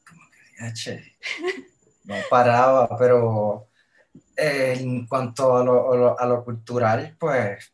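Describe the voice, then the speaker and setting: A man from Puerto Rico with a Puerto Rican accent, a guest in a casual chat who talks hesitantly and pauses to find his words. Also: medium pitch, fairly even intonation. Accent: Puerto Rican Spanish. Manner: hesitant, many fillers and restarts. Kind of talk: casual conversation. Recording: roomy echo.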